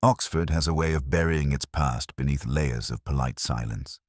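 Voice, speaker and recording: A man playing a brooding, observant police inspector, narrating his case in a measured, reflective, literary way. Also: extremely low pitch, no room sound, studio quality. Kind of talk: scripted first-person detective story narration.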